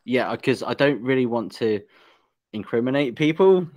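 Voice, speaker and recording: A man's voice: medium pitch, expressive, measured pace, a little mellow. A man with an English accent hosting a podcast, chatting casually, joking and laughing often as he asks teasing questions. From England. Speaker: podcast host. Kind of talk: casual interview chat.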